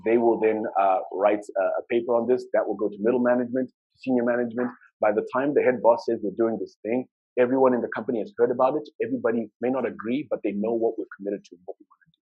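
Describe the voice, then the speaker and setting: A man's voice: medium pitch, fairly even intonation, fast, husky, phone-quality sound. A man from Zimbabwe whose first language is Shona, giving a conversational conference talk with filler words and restarts. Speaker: conference speaker.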